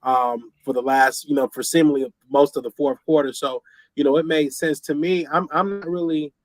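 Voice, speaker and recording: A man with a slightly hoarse, scratchy voice from a cold, giving laid-back football opinions as a sports show co-host. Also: fairly high pitch, steady tone, moderate pace, no echo. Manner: laid-back, fillers like "you know what I'm saying". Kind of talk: casual sports talk.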